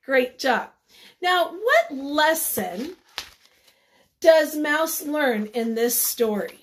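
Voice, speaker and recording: A woman with a high voice speaks as an elementary teacher to young children. Her delivery is slow and instructive, with simple questions, pauses and encouraging replies. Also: sing-song, a little hiss or hum. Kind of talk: read-aloud lesson for young children, asking questions and answering them.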